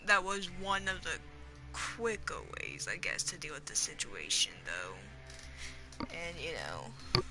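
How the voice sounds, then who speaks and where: A woman giving casual, halting commentary on a video game playthrough, with game music behind her. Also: low-pitched, wildly swooping pitch. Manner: halting, trailing off. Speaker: gamer streaming a playthrough.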